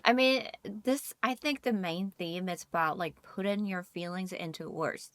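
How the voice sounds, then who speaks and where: A woman's voice: on the low side, measured pace, a bit bright, dry recording. A woman co-hosting a casual podcast, hedging her points with frequent "like" and "I mean". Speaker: podcast co-host. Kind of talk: casual chat.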